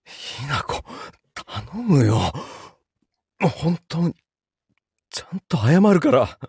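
A man playing a cowardly, cheating young boyfriend in a live audio drama, hesitant and pleading, with a husky, breathy voice. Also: on the high side, very animated, takes it slowly, a little mellow, no room sound, clean studio sound, exaggerated voice. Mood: panicked, pleading.

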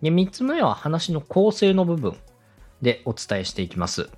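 Podcast host, man, animated pitch swings and slightly rough tone, courteous and methodical advisor. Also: normal speed, faint music behind. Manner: polite, explanatory, methodical. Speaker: podcast host, radio director coaching a co-host. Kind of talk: structured advice talk for a podcast.

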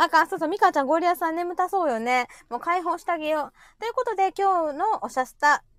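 A woman's voice: very high-pitched, animated, fast talker, a bit bright, no echo. A woman with a Kansai accent hosting a casual comedic chat in a teasing, chatty way. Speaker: host of the show.

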